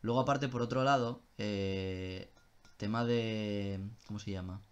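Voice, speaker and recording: A man's voice: on the low side, steady tone, unhurried, smooth, muffled recording. A young man chatting casually on a live stream, answering viewer questions in a loose style with swearing and self-interruptions.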